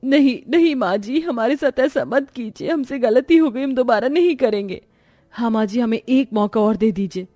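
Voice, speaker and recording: A woman's voice: a little high, moderate pace, mellow, dry recording, clean studio sound, soft background music, lightly acted. A woman voicing a timid young veiled daughter-in-law in a moral-story cartoon, deferential and beseeching. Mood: pleading.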